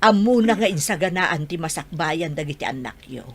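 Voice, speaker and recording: A woman portrays an older Ilocano mother in a radio play, caring but quick to scold. Her voice sits fairly low. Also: animated, slightly rough, full character voice. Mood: reproachful. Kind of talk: Ilocano radio comedy drama.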